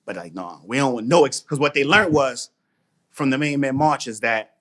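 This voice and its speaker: A man talking casually on a podcast, animated and slangy, often repeating phrases. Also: medium pitch, normal speed. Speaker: podcast guest, mental toughness trainer.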